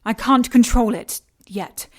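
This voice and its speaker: A woman's voice: a little high, measured pace. An actress playing a cold, obsessed female scientist and shapeshifter villain in an audio drama, composed, clinical and condescending.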